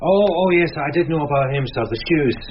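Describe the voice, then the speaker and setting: A man acting a weary but dutiful police sergeant in a radio crime drama. His voice sits fairly high, and his manner is dry and deferential. The recording is a little dull and noisy. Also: expressive, brisk, lightly acted.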